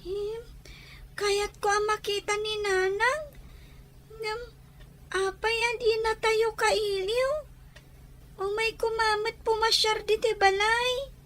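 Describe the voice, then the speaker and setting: A plaintive little child in a radio drama. The voice is extremely high and a bit slow, full of innocent longing. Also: female voice, flat delivery, a bit bright, full character voice. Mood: sad, longing. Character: plaintive little child missing their mother.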